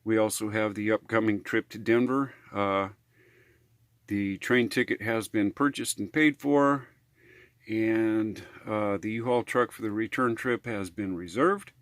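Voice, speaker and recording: A man's voice: lowish voice, a little slow, dry recording, clean studio sound. An American man talking casually in a how-to vlog, in a rambling, hesitant, folksy way. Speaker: RV living vlogger.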